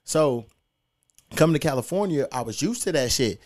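A man's voice: mid-range voice, lively intonation, moderate pace, darkish tone. A young man, hosting a podcast and telling stories in a laid-back, profane, slangy way. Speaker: podcast host. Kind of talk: casual podcast storytelling.

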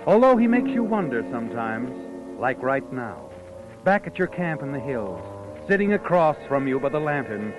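A man's voice: medium pitch, very animated, dull audio, natural voice. Ominous night-walking storyteller played by a man, vintage radio mystery, very varied delivery over music. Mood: knowing. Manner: theatrical, brooding, dramatic pauses. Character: ominous mysterious all-knowing male narrator who walks by night. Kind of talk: 1940s radio mystery narration.

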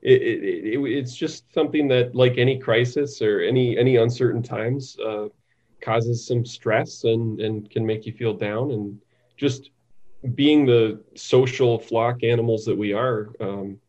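Male interview guest, mid-pitched, thoughtful and halting, conversational, on slightly muffled audio. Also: darkish tone. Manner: halting, thoughtful, repeats words. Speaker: interview guest, psychiatric nurse practitioner.

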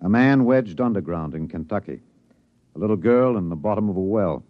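Low-voiced radio newsman, dry old recording. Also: animated, fairly quick, no echo, narrow, tinny audio. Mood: grave, dramatic. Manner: off-the-cuff asides, then grave dramatic delivery. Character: driven, candid newsman recording a solemn documentary narration. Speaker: radio editor and narrator. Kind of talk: 1950s radio drama narration.

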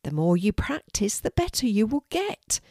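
A woman voicing a kind, encouraging young girl in a children's storybook, speaking in a gentle, reassuring way. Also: medium pitch, very animated, moderate pace, no room sound, studio quality.

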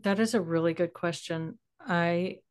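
A middle-aged American woman speaks in a voice pitched a little low for a woman. She is talking reflectively as a podcast host, feeling her way through each idea with halting, searching phrasing. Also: a little mellow, clear, resonant tone. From Texas, United States. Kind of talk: reflective conversational discussion.

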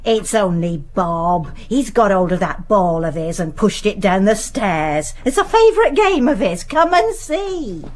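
Chatty english maid, middle-aged, respectful, voice goes up n down loads, radio play. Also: female voice, mid-range voice, very animated, a little slow, hardly any pauses. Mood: fond, indulgent. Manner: deferential, homely, gossipy. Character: chatty, deferential, long-serving English female house servant. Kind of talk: radio drama acting.

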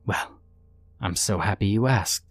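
A man's voice: lowish voice, a little slow, slightly rough. Man playing a taunting British-accented shapeshifting villain. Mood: smug glee. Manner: taunting, theatrically chatty. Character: gleeful sinister male shapeshifter, chatty, mocking, posing as friend.

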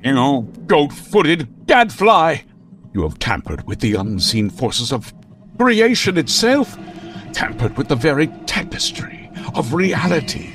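A man's voice: a little high, very animated, a bit bright, faint music behind. A man playing a grandiose, righteous comic-book vigilante in an old-time radio drama, speaking with pompous melodrama. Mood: righteous vengeance. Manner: pompous, melodramatic, drawn-out delivery.

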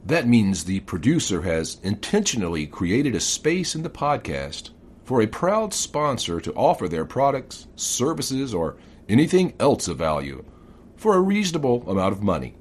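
Man announcer with very animated intonation. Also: mid-range voice, normal speed, a little hiss or hum. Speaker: promo announcer. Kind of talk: scripted sponsorship announcement.

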